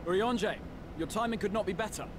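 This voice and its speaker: An actor playing an earnest young scholar-adventurer in a fantasy game cutscene, speaking in a grave, formal way. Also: male voice, high-pitched, quick pace, background noise, lightly acted. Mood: urgent. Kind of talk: dramatic video game cutscene acting.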